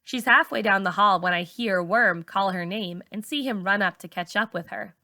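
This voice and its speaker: A teacher reads a novel aloud in an expressive first-person voice. Her tone is bright and crisp, and the sound is close and dry, with no room around it. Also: mid-range voice, normal speed, no echo. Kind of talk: audiobook-style novel reading.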